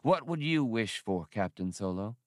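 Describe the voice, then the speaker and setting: A man voicing a gentle, wistful many-legged alien musician in a science fiction audiobook. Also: fairly low pitch, expressive, normal speed, dry recording, studio quality, natural voice.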